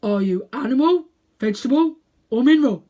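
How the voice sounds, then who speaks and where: A woman voicing a businesslike male talking bulldog in a storybook, brisk and questioning. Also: sits high, animated, mellow, voice pushed up. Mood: brisk, inquisitive. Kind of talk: storybook character voice.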